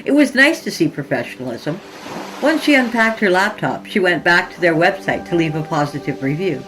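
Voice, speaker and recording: A woman's voice: fairly low pitch, expressive, brisk, noisy background. A woman reading scripted horror fiction as a podcast narrator, in a dramatic storytelling style. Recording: music behind the voice. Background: music.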